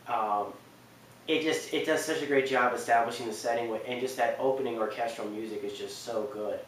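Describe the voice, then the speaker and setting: A man in his twenties talks casually to camera as a list host, halting and rambling in an earnest way. His voice sits at a middling pitch. It is recorded in a very echoey living room from a distant mic, with faint noise. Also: steady tone. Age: 20s. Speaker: video game list host. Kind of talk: casual unscripted review to camera. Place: indoor living room on a couch.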